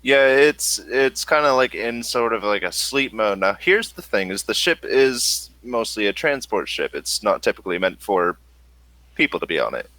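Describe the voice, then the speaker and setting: Deep-voiced guy game mastering a tabletop game, thinks aloud, very dry close sound. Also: expressive, moderate pace, bright, forceful tone, no room sound, poor audio.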